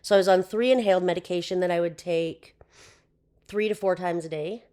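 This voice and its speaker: A young woman with a hoarse voice, chatting casually as a podcast guest, with lots of fillers. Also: on the low side, brisk, mellow. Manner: chatty, with frequent fillers and laughs. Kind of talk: casual interview chat.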